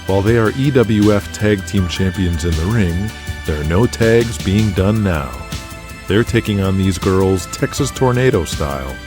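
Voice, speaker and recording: A man hosting and narrating an audio drama podcast in a steady, measured storytelling manner. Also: low voice. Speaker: narrator and host. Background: music.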